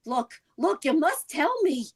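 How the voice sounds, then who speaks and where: A woman's voice: sits high, animated, measured pace. A woman playing an anxious, insistent wife who pleads urgently, in vintage radio drama style. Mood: urgent pleading.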